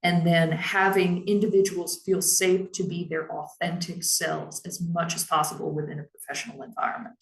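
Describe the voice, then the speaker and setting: A woman who heads a public library, giving a measured, explanatory presentation on staff training in her naturally lower voice. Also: little pitch movement, roomy sound, low-quality recording. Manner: measured and explanatory, with frequent "um" and "now".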